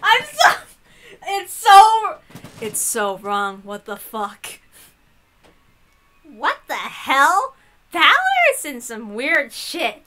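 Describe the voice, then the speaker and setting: A woman host reading a visual novel aloud, mixing dramatic narration with excitable, irreverent, sweary asides. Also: high voice, very slow, pauses often.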